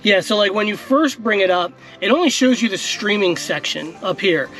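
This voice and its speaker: A man's voice: high voice, normal speed, faint music behind, low-quality recording. A man in his forties, a tech vlogger, casually walking through a car's screen from inside a parked car, with lots of fillers. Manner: chatty, relaxed, filler-heavy. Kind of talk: casual demo walkthrough. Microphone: action camera in car.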